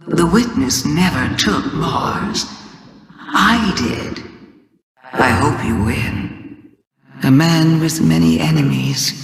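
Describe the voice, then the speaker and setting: A man playing a cryptic, gloating game schemer who speaks in riddles, deliberate and theatrical. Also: mid-range voice, creaky, full character voice. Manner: slow, deliberate, ominous. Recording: echoey hall-like reverb.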